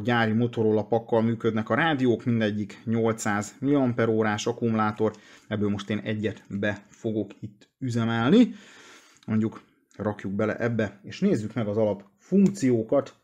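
A man's voice: fairly low pitch, darkish tone. A man presenting a casual, hands-on product unboxing and walkthrough.

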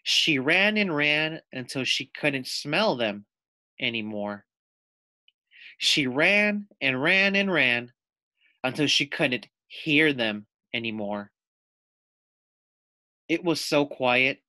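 A man reading a picture book aloud to young children as their teacher, deliberate and explanatory. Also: medium pitch, lively intonation, pushed and bright, dry recording. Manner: deliberate, explanatory, asks the listeners questions.

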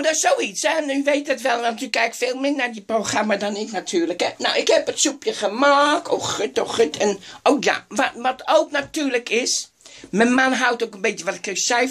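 A woman's voice: fairly high pitch, husky. A woman doing a doddering old cooking host. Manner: rambling, forgetful, full of sighed "oh gut"s. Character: scatterbrained elderly woman hosting a microwave cooking show. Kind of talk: comedic improvised sketch.

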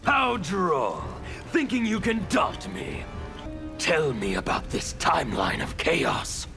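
A man voicing a fanatical, mocking chaos zealot in a video game, theatrical and gravelly. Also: on the high side, huge pitch swings, exaggerated voice. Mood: gleeful taunting. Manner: theatrical, taunting, drawn-out delivery. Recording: music playing behind.

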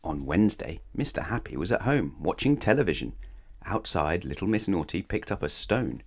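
A man reading a children's storybook aloud in an animated, playful way, speaking straight to young listeners. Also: low-pitched, normal speed, breathy edge. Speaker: storybook reader. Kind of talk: expressive scripted children's story read-aloud.

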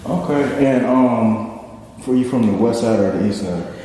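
A man hosting a casual interview, asking relaxed questions with short prompts and fillers. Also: medium pitch, normal speed. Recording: echoey room with faint background hiss.

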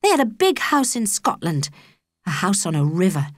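Female British audiobook reader for children's fiction; expressive, varied pitch in a dry recording. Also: moderate pace, dry recording. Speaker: audiobook narrator. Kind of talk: scripted children's story narration.

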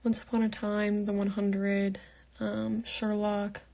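A young woman in her late teens reading out fan comments and answering them in a soft, half-whispered voice, on a phone held at arm's length in her bedroom at night. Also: mid-range voice, completely flat delivery, slow pace, vocal fry. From Pittsburgh, United States. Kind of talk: casual live Q&A chat.

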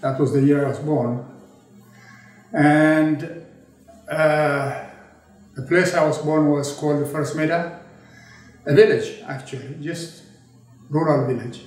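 Slow, reflective man in his eighties. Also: fairly high pitch, fairly even intonation, a little mellow, faint music behind. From Ethiopia. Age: 80s. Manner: slow, measured, reflective, with pauses and restarts. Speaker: interviewee recalling his childhood. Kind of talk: oral history interview. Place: indoor studio with a draped backdrop. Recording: very echoey room, voice from a distance.

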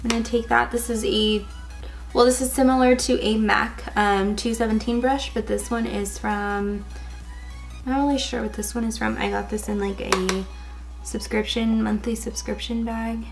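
A woman in her twenties talks through a makeup tutorial in a casual, filler-laden way. Her voice is raspy and a little hoarse from a cold, sitting fairly high with a gravelly fry. She speaks close to the camera in an indoor setting. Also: steady tone, normal speed, faint music behind. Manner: casual, chatty, many fillers like "um" and "like". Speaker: beauty vlogger. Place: indoors, in front of a gold sequin backdrop.

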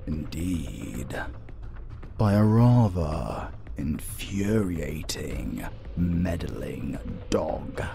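A man voicing a formal, haughty centuries-old vampire in a dark audio drama, with ornate, deliberate speech. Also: a bass voice, sing-song, gravelly, faint music behind, full character voice. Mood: proud.

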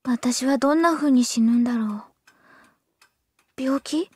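A teenage schoolgirl with a high, dryly recorded voice. She is pessimistic and down on herself, speaking politely but easily flustered, in anime drama style. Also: a little slow, a little mellow, breathy edge, no room sound. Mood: gloomy, brooding. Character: gloomy, self-loathing sixteen-year-old schoolgirl. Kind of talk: anime audio drama acting.

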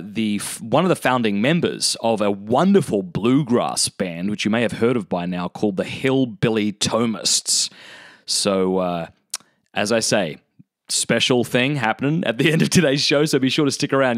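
A man's voice: fairly low pitch, no room sound, studio quality. A man with an Australian accent, chatting informally and enthusiastically as a podcast host. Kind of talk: casual chatty interview talk.

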